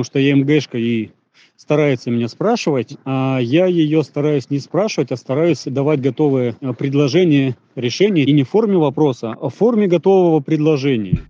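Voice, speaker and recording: A man speaks in a fairly high but dark, husky voice, earnest and reflective, stacking his ideas in short phrases. The recording is muffled and lo-fi. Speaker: Human Design consultant. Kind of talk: casual personal testimony.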